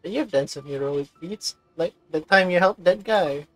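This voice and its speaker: A woman's voice: lowish voice, takes it slowly, poor audio. A woman gamer reading dialogue choices aloud and reacting to the game. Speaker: game player.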